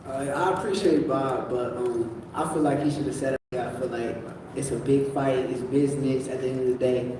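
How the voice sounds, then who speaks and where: A male boxer giving blunt, laid-back answers with many pauses. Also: medium pitch, fairly even intonation, background noise. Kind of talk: press conference answers. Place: large event room. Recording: echoey room picked up from far off.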